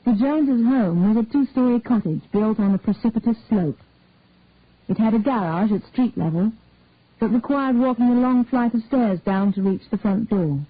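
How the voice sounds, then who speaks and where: A woman's voice: medium pitch, dry recording. Woman reading a story, tinny narrowband sound. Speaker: audiobook reader. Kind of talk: scripted story narration.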